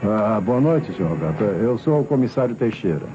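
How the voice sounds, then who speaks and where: An actor playing a probing, deliberate police commissioner with a Brazilian accent in an old radio crime drama. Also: male voice, lowish voice, sing-song. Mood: grave, formal. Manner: deliberate, authoritative, questioning. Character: brisk, probing police commissioner, a grown man. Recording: muffled vintage radio recording, music behind.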